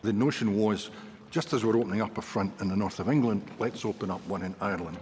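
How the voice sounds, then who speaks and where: A man's voice: fairly low pitch, quick pace, long reverb tail, music in the background. A male historian in a documentary interview, reflective and qualifying his points as he goes.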